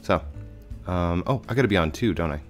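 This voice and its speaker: Deep-voiced man, slightly hoarse from a cold. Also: fairly quick, faint music behind. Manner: chatty, rambling, with frequent fillers. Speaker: gaming channel host. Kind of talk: casual let's play commentary. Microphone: headset mic.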